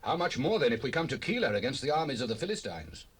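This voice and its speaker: An actor playing a fearful, urgent soldier in a dramatized Bible recording, with a raspy voice. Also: male voice, mid-range voice, very animated, fast. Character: anxious, fearful soldier of David's band.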